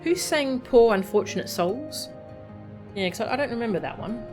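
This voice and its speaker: A woman's voice: a little high, lively intonation, normal speed, music in the background. A woman streamer chatting casually between game dialogue, with stuttering asides and trailing murmurs into a desk mic.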